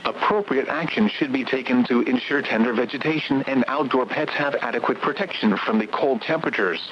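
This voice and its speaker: A muffled weather radio broadcast with faint noise carries a synthetic male announcer. The mid-pitched voice reads warning bulletins formally, in measured, segmented phrases, like an automated alert system. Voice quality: synthetic text-to-speech voice.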